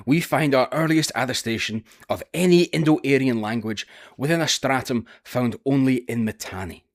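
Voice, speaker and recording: A man with a Scottish accent, presenting a scripted history video in a careful, explanatory way. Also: medium pitch. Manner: careful, explanatory, with occasional filler "uh". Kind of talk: scripted educational narration.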